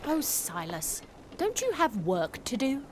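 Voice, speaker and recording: Bold, high-voiced seafaring woman. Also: huge pitch swings, slow pace, background noise, heavily acted. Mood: mock exasperation. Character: bold, playful, flirtatious seafaring woman, a storyteller. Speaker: actor playing a seafaring woman. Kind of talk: period audio drama acting.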